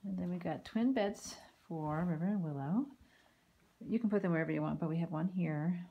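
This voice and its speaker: A woman giving a casual walking tour of a house and its backyard, filmed on a handheld phone, with chatty remarks that trail off into soft asides. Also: fairly low pitch, moderate pace, creaky, husky, phone-quality sound. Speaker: home tour vlogger. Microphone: phone camera held while walking.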